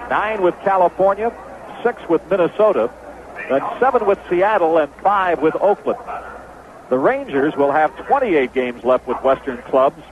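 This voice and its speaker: A man's voice: fairly high pitch, narrow, tinny audio. An American man calling baseball play-by-play as a 1970s radio announcer. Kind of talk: 1970s radio sports commentary. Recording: noisy phone-band vintage radio broadcast.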